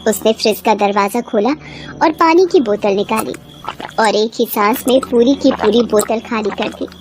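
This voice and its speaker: A woman's voice: on the high side, normal speed, a little mellow. A woman storyteller reading a scripted horror bedtime story. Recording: clear background ambience noise.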